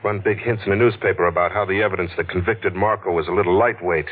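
A man's voice: low-pitched, steady tone, fast, breathy edge. A man with an American accent playing a wry, stubborn newspaper reporter who narrates in slangy 1940s radio noir style. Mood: wry, frank. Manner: slangy, sardonic. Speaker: lead actor and narrator. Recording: tinny, noisy old radio broadcast.

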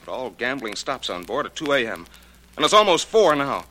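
An actor playing a desperate, pleading husband in a 1940s radio drama, urgent and stammering. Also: male voice, mid-range voice, big pitch swings, moderate pace, sharp tone. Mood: anxious. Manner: urgent, stammering, repeating himself. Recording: noisy 1940s radio recording.

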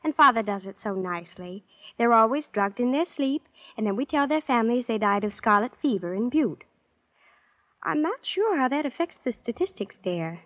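A breezily callous young rich girl in an old radio play. Her voice is high and girlish, by turns airy and petulant. It sounds close and dry on a dull vintage recording. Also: sing-song, moderate pace, a bit bright, no room sound. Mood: blithely matter-of-fact. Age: young adult. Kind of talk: 1940s-50s radio drama acting.

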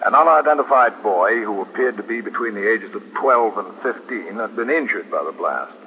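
An American man playing a steady, concerned police captain in a 1950s radio drama, speaking in a measured, matter-of-fact way. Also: fairly low pitch. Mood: measured, factual. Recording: dull, noisy 1950s radio recording.

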